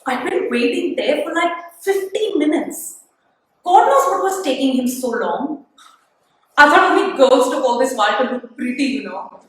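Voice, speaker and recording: A young woman acting out a chatty, indignant young woman telling an anecdote in a theatrical monologue, gossipy and animated. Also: high-pitched, normal speed. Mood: chatty, annoyed. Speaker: student actor. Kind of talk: theatrical monologue, conversational storytelling. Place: studio, live-streamed stage performance. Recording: very echoey studio livestream, distant mic.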